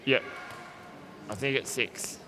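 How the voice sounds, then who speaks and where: Man A man calls a live match, calmly explaining tactics and often restarting his sentences as he thinks aloud. His voice sits at an ordinary middle pitch. Steady noise runs under the stream. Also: takes it slowly. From Australia. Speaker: sports commentator. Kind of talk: live sports commentary.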